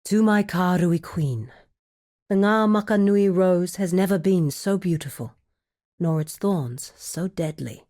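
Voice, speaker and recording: A woman playing a composed, shrewd criminal guild woman in a video game, guarded and wry, speaking in a measured, formal, articulate way. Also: lowish voice, warm tone, natural voice. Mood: businesslike. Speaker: video game quest-giver character. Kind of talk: scripted video game dialogue.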